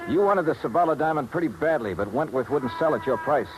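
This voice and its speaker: An American actor playing a shrewd, wry, hard-boiled investigator in a 1950s radio detective drama, with a clipped delivery. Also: male voice, a little high, lively intonation, fast, background noise, lightly acted. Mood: pressing. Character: shrewd, wry, tough male insurance investigator. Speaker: lead actor and first-person narrator. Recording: vintage 1950s radio recording, music behind.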